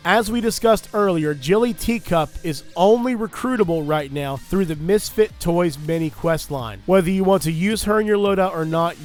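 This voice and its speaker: A young man, getting over a cold, gives a chatty, earnest voice-over about his gameplay as a gaming creator. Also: fairly high pitch. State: recovering from a cold. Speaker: gaming YouTuber. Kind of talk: casual gameplay commentary and loadout guide.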